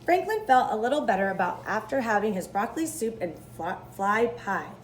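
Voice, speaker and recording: A woman reading a children's story aloud in an expressive style. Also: mid-range voice, normal speed, slight background noise. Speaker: storybook reader.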